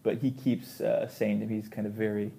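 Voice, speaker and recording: A man co-hosting a casual chat, talking in a halting, loosely phrased way. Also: on the low side, level tone, creaky, warm tone. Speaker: magician, podcast co-host.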